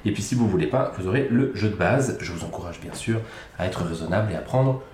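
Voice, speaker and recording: A man co-hosting a casual board game podcast, chatty and self-mocking, talking in quick bursts. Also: low-pitched, expressive, darkish tone, slight background noise. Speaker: podcast co-host. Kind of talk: casual chat about board games. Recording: echoey room.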